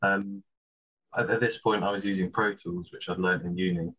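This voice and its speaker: A man's voice: low-pitched, fairly even intonation, moderate pace, pushed and bright, slightly rough. A man, a composer guest, talking hesitantly with many ums. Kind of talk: casual interview chat. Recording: dull lo-fi sound.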